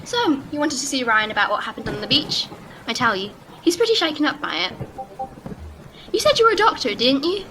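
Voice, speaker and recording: Actress as forthright mother, lilting high voice, very animated, audio drama. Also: a little fast. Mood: chatty, hospitable. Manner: chatty, no-nonsense. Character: forthright Welsh mother running a boat rental, protective. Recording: noisy ambience effects behind.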